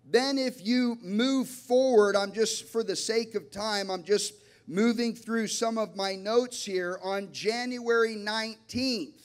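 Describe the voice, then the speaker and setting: A man in his thirties preaching a sermon on stage into a handheld mic, emphatic and deliberate, with dramatic pauses. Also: sits high. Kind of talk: sermon to a church congregation. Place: large dark church auditorium, on stage before an audience. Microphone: handheld microphone.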